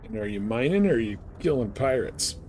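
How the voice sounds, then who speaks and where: A man's voice: medium pitch, expressive, a little fast, dark tone, a little hiss or hum. A man chatting casually and informally as he streams a game. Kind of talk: casual chat while playing a space game live stream.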